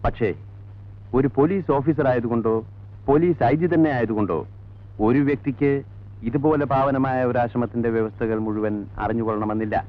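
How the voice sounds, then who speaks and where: A formal, guarded head monk played by a male actor in an old film drama. He speaks in a measured, officious manner at a middle pitch. The soundtrack is thin and tinny, with little top or bottom. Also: natural voice. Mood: firm, polite. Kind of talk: 1980s film drama acting.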